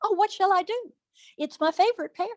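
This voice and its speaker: A woman's voice: extremely high pitch, brisk, darkish tone, no echo, pitched above the performer's own voice. A woman voicing a giddy, coy young girl in a folktale, airy and playing helpless. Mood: mock dismay.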